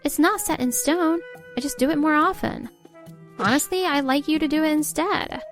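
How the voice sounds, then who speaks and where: A woman's voice: high-pitched, normal speed, faint music behind. A woman playing an exasperated, overburdened young wife and mother in a scripted comic dub. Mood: exasperated, firm. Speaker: voice actor in a comic dub, narrating and playing characters. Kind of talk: scripted dramatic narration and dialogue.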